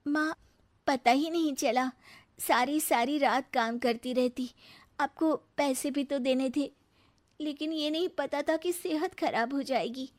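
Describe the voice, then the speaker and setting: A young married daughter from a cartoon morality tale, played by a woman, with a high voice. She is dutiful and self-respecting, earnest and placating in the way she talks. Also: measured pace. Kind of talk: Hindi cartoon moral story acting.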